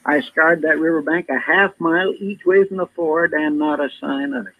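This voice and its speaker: An actor playing a loyal, plain-spoken western deputy with an American accent, in old radio drama style. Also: male voice, on the high side, fairly even intonation, normal speed, no echo, phone-quality sound, lightly acted. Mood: earnest, uneasy. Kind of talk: 1950s radio western acting.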